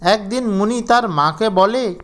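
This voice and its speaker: A man's voice: high voice, unhurried. A man narrating a scripted children's fairy tale as storyteller.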